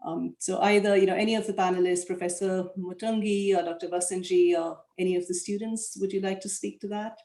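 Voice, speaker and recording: A woman moderating an online panel discussion, speaking in a measured, thoughtful way and reading audience questions aloud. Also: medium pitch, steady tone, mellow. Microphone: video call. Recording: echoey room on a video call.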